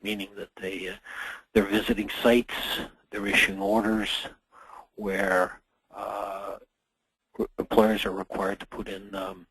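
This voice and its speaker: A middle-aged man, hoarse from a cold, presenting a workplace safety webinar in a measured way with frequent pauses. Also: lowish voice, flat delivery, fairly bright tone, no echo, poor audio. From Canada. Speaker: association general manager presenting a webinar.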